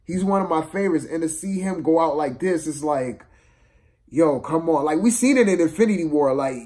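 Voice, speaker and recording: A man giving a casual, unscripted film review rant, exasperated and slangy, repeating himself for emphasis in a halting way. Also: a little high, a little mellow.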